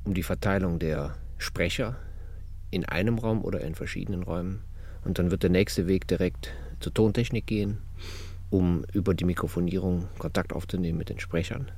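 A man's voice: low-pitched, steady tone, brisk, a little mellow. A man, an author and director, explaining his working process step by step in an interview statement. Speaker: radio drama author and director.

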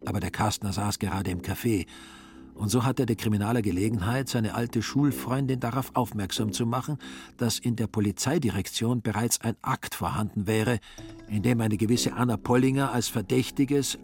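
A man's voice: lowish voice, moderate pace. A man narrating a literary radio drama in scripted prose. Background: music.

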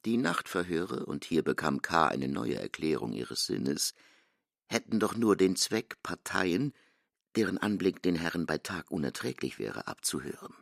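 A man's voice: deep voice, sing-song, unhurried, vocal fry, no room sound, clean studio sound. A man delivering scripted literary narration in a radio play.

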